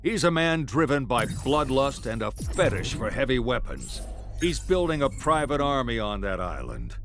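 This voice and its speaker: An actor playing a steely, righteous agency commander giving dry, authoritative briefings in a video game. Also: male voice, mid-range voice, very animated, moderate pace, slight background noise. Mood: stern, dry. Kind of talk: video game mission narration. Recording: loud game music behind.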